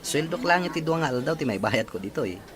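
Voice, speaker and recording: A man's voice: fairly high pitch, expressive, fast, natural voice. A man with an Ilocano accent playing a tender, hopeful young suitor in a serial drama. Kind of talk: Ilocano radio-style serial drama acting.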